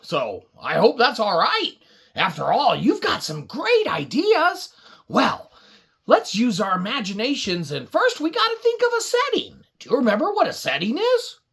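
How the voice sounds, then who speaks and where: A woman hosting an interactive children's story show, animated and exclamatory, reacting big and asking for ideas. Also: fairly high pitch, normal speed, slightly rough. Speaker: puppet show host and storyteller.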